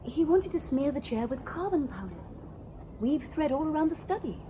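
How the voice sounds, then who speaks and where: A woman's voice: high-pitched, normal speed, background noise. A coy genteel Victorian young lady. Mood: indignant. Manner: prim, coy and animated. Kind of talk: radio drama acting.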